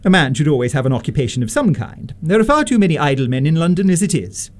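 A man playing a haughty, disapproving upper-class Victorian mother in a radio comedy, pompous and deliberate with swooping emphasis. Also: a little high, big pitch swings, a little mellow. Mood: haughty interrogating. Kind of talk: stage-comedy radio acting.

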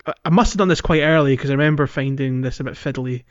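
A man's voice: medium pitch, fast talker. A man co-hosting a podcast, chatting casually about a game and thinking aloud in a hesitant way. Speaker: podcast co-host. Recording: dull lo-fi audio with music.

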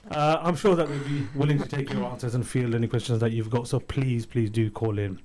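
A man's voice: medium pitch, moderate pace, darkish tone. A man hosting a community radio phone-in show, talking in a loose, chatty way with frequent ums and restarts. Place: radio studio.

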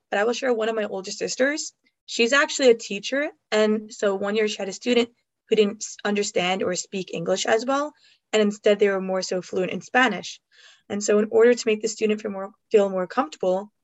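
A woman's voice: medium pitch, no echo. A young woman, an education student, telling stories in a chatty way with frequent fillers. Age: young adult. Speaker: education student, podcast co-host. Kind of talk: casual storytelling.

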